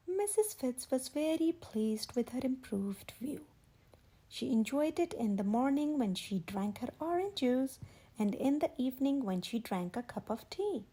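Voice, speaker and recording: A woman reading a children's picture book aloud in a measured, expressive storytelling manner. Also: high-pitched. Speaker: children's story channel host and reader. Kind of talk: scripted read-aloud narration of a picture book.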